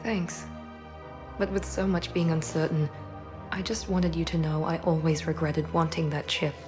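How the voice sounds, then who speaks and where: A woman's voice: low voice, steady tone, normal speed, over music, lightly acted. A woman playing a proud, guarded secret operative in a video game, speaking in a serious, controlled and earnest way. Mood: earnest, composed. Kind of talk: cinematic video game acting.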